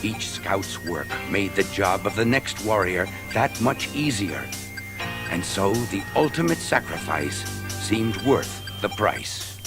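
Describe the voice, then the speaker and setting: A man narrating a science fiction audio drama in a theatrical, suspenseful style with deliberate phrasing. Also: on the low side, lively intonation. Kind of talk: dramatic scripted storytelling for a sci-fi audio drama record. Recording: loud music under a 1980s record. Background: loud dramatic music.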